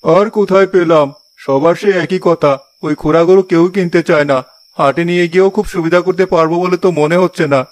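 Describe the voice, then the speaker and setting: A man's voice: high voice, fairly even intonation, fast, no echo, close to an everyday voice. A man playing a poor, weary old village farmer and father in a cartoon, plaintive and resigned. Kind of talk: cartoon fairy tale dialogue.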